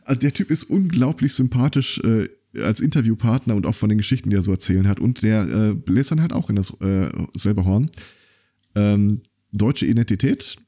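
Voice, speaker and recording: Low, mellow man chatting on a podcast. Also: lively intonation, moderate pace. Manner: rambling storyteller, many fillers and restarts. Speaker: podcast host. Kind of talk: casual podcast chat.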